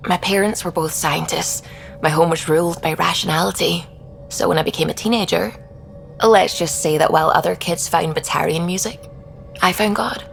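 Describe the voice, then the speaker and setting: A woman playing a thoughtful, devout scientist on a space expedition, explaining things in a measured, reflective way in video game dialogue. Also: on the low side, steady tone, gravelly, faint music behind. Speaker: science officer character.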